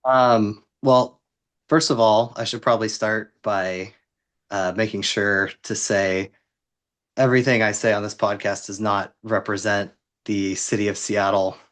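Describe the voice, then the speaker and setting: Man in his thirties, podcast guest, low-ish voice, wry self-deprecating humor. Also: fairly even intonation, measured pace, fairly bright tone. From Vancouver, Washington, US. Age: 30s. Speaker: interview guest, city housing worker. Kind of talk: conversational interview.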